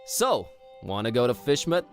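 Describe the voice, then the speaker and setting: A man's voice: medium pitch, big pitch swings, moderate pace. An actor playing an easygoing, cheerful young male adventurer in a cartoon adventure game, breezy and casual, with music underneath. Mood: upbeat.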